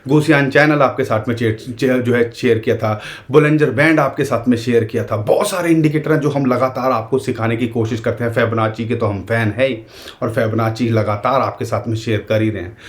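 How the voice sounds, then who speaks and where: A man's voice: medium pitch, steady tone, brisk. A man with an Indian accent explaining market charts to his viewers in casual, earnest talk, with a sore throat. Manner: earnest, emphatic, addresses viewers directly. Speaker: crypto market commentator.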